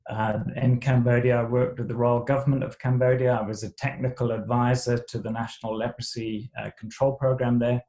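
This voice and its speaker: A middle-aged man from Zimbabwe, raised speaking Shona and English, telling his life story as an interview guest in a halting way, with many fillers and restarts. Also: medium pitch, level tone, mellow, poor audio. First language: Shona alongside English.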